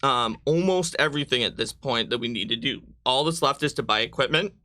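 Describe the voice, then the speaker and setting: Guy hosting a tabletop game stream, really bright voice, explaining options casually. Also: mid-range voice, fairly quick. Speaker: game host guiding character creation.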